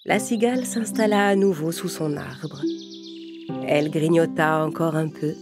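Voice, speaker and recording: A woman's voice: deep voice, steady tone, a little slow. A woman narrating a scripted children's fable in French storybook style. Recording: loud music behind the voice. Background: music.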